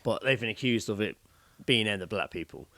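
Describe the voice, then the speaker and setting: A man's voice: lowish voice, lively intonation, fairly quick, sharp tone, dry recording. A British man co-hosting a podcast, telling stories in casual, blokey and very sweary chat. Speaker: podcast co-host.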